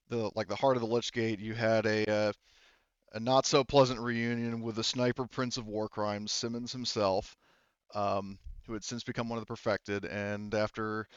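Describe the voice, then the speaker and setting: Guy game mastering, clean smooth voice, rambles and halts, kinda dull close dry recording. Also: medium pitch, no echo. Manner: halting, rambling explanations. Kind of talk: casual recap and banter in a tabletop roleplaying game.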